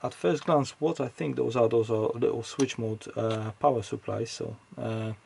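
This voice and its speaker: A man's voice: medium pitch, steady tone, moderate pace, vocal fry, a little mellow, a little hiss or hum. A man giving casual, off-the-cuff technical commentary as he hosts an electronics teardown, hesitantly thinking aloud as he goes.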